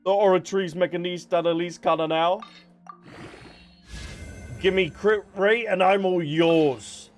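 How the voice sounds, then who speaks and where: A man's voice: sits high, fairly even intonation, unhurried, long pauses. A man streaming a game, chatting casually and thinking aloud as he answers viewers. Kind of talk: casual livestream chat while gaming. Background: background music.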